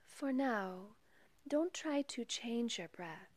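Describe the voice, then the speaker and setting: A woman leading a guided meditation in a soft, breathy, partly whispery voice, calm and gentle, with long pauses between measured phrases. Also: a little high, no room sound. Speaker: meditation guide and podcast host.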